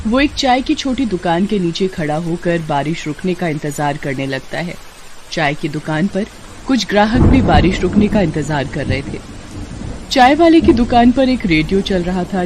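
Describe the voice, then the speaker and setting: A woman's voice: on the low side, noisy background. A woman narrating a scripted moral story as a storyteller.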